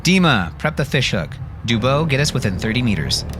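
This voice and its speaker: A decisive military captain, played by a male narrator, barks terse, urgent orders in an audiobook thriller. His pitch is lifted above a low base, and music plays behind him. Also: sing-song, a little fast, close to an everyday voice. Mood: commanding.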